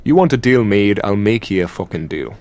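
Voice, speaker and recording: Guy doing an irish elder demon boyfriend for asmr roleplay, lowish voice, sardonic, sweary, fed up and possessive. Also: animated, a little mellow, no room sound. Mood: possessive, bargaining. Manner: sardonic, sweary, halting conversational delivery.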